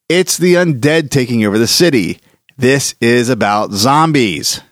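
Animated male podcast host, close and dry. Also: mid-range voice, dry recording. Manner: enthusiastic. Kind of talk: conversational scripted introduction.

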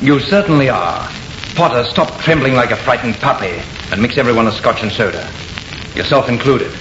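A man acts a commanding, theatrical artist in a vintage radio horror play, proud and dismissive. His delivery swings in a lively way, over a noisy, dull, echoey recording. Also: mid-range voice, moderate pace, close to an everyday voice. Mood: exasperated. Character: confident male artist, excited, dismissive, smitten with his mannequin. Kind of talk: old-time radio horror acting.

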